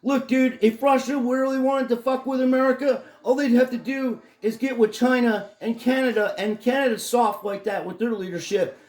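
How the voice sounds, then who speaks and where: A middle-aged man with a hoarse voice, ranting angrily and profanely in a rambling home vlog. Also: very high voice, steady tone, normal speed, few pauses, darkish tone, echoey room. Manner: angry, profane, rambling rant. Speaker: vlogger. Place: his home in Florida.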